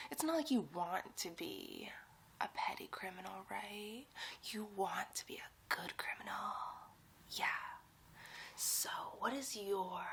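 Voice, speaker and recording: A woman's voice: medium pitch, fairly bright tone, a little hiss or hum. A woman voicing a giddy, bossy young superhero sidekick in a whispered, theatrical, teasing ASMR roleplay.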